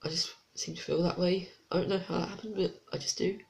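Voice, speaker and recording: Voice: mid-range voice, fairly even intonation, fast talker, creaky, slight background noise. A reviewer with a blocked, congested nose, chatting casually through a toy set review with unsure, rambling asides. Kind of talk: casual product review vlog.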